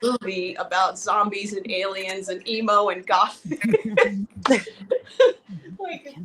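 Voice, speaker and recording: A woman's voice: mid-range voice, slow, noisy background. A woman from Russia, a guest filmmaker, chatting casually in an interview and trailing off mid-sentence.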